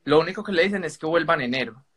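A man's voice: a little high, lively intonation, racing, fairly bright tone. A man with a Colombian accent, speaking as a caller in a casual, polite, somewhat hesitant and roundabout way. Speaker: caller on a live stream. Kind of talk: casual spoken account.

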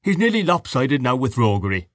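A man's voice: medium pitch, big pitch swings, brisk, no room sound, studio quality, voice pushed up. A man voicing a jovial, boisterous middle-aged Irish father, hearty and teasing in his banter.

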